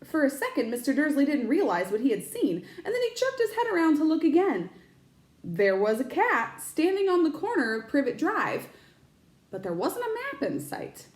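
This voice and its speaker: A woman teacher reading a children's storybook aloud, expressive and stopping to explain words to young listeners. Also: high-pitched, normal speed, roomy sound.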